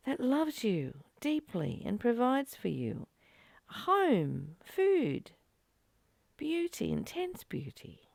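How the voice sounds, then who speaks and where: Slow, creaky-voiced woman giving spiritual teaching. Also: on the high side, no echo, clean studio sound. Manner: earnest, emphatic, with rhetorical questions and repeated phrases. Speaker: spiritual teacher leading a study of a course text. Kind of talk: spiritual teaching, reading aloud with reflective commentary.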